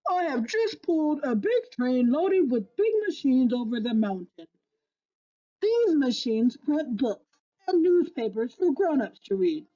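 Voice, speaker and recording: A woman's voice: high voice, big pitch swings, unhurried, mellow, dull audio, heavily acted. A woman voicing a big, strong, self-important freight train engine in a storybook, bellowing and pompous. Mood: pompous, dismissive.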